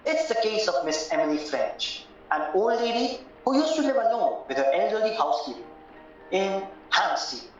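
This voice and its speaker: A woman's voice: medium pitch, very animated, normal speed, natural voice. A woman playing a formal, earnest solicitor in an audio play, speaking precisely. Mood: grave, formal. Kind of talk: stage-style audio play acting. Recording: very echoey, distant, muffled, music behind.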